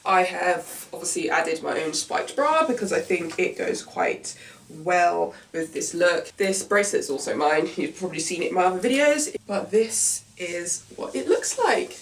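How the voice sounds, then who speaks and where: A woman's voice: on the low side, expressive, moderate pace. A young woman with a nasal voice chatting casually through an unboxing and try-on video, enthusiastic and narrating what she handles, recorded in a small room. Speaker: fashion vlogger.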